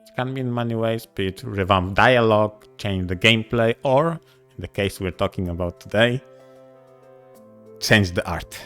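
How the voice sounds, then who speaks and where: A man co-hosting a podcast in casual discussion, speaking in a deliberate, halting way. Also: lowish voice, a bit bright, clear, resonant tone, soft background music. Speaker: podcast co-host. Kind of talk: casual discussion of visual novels.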